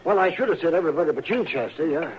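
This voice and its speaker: An actor playing a grumbling, frank older frontier doctor in an old-time radio western, dry and crotchety. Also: male voice, a little high, expressive, very fast, lightly acted. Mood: grumbling, glum. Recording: dull, noisy old radio recording.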